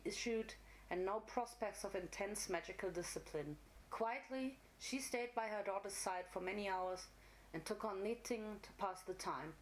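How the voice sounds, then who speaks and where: Female narrator, medium pitch, for dramatic fantasy storytelling. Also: a little hiss or hum, rough recording.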